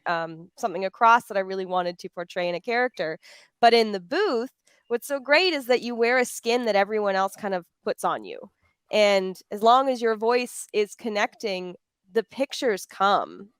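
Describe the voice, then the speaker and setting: A woman who is a voice actor moderating a panel, speaking in expressive, deliberate phrases. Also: medium pitch, smooth, no echo.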